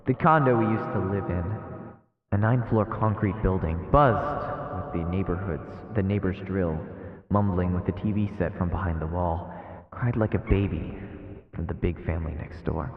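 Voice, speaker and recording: A man's voice: deep voice, normal speed. A man streaming a horror visual novel, reading it aloud as dramatic, ominous narration. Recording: echoey reverberant muffled sound with music. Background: game music.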